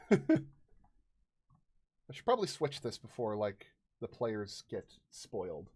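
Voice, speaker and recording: A man's voice: on the low side, very animated, takes it slowly, a little mellow, slightly rough. A man in his thirties chatting casually as a livestream host, hesitant and apologetic in manner. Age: 30s.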